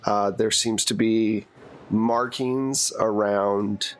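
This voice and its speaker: A man's voice: on the low side, steady tone, extremely slow. A man narrating a tabletop roleplaying game as game master, casual and given to joking asides.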